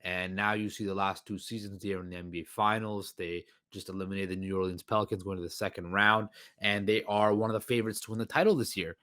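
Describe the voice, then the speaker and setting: Male podcast host, hoarse, low-pitched, dry close recording, loose rambling delivery. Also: steady tone, quick pace, few pauses, bright, forceful tone, dry recording. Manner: rambling, many fillers and restarts. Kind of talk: solo sports podcast commentary.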